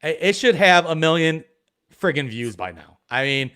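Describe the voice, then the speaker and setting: Guy from ohio chatting on a live stream, gets excited, trips over his words a lot. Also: fairly high pitch, normal speed, clear, resonant tone. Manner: excitable, rambling, many restarts. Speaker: metal detecting YouTuber, guest. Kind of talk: casual live stream chat.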